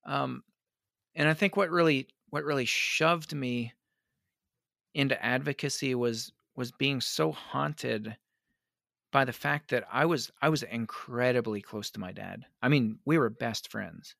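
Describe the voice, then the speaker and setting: A man, a pastor, answering interview questions in an earnest, reflective way, his voice worn hoarse by allergies. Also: medium pitch, a little slow, fairly bright tone, no echo, studio quality. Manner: earnest, reflective, many restarts. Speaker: pastor and advocate.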